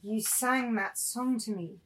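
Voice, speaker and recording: A woman's voice: fairly high pitch, fairly even intonation, slow, slight background noise, poor audio. A woman acts out the ghost of a dead mother in a literary drama reading. She is solemn and fervent, exhorting and pleading piously, with menace beneath the devotion. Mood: solemn, tender.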